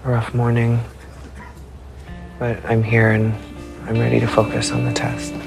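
A man's voice: medium pitch, a little slow, gravelly, poor audio. An actor playing a proud young man hiding his pain, speaking in a strained, guarded way. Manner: strained, guarded, flat delivery. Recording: dialogue under loud music, from the mic.

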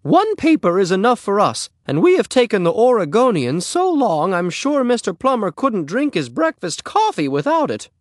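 A chatty storybook housewife, acted out by a man, pitched well above a man's talk. She is brisk and exclamatory, swooping up and down with polite firmness. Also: wildly swooping pitch, no echo, clean studio sound. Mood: polite refusal.

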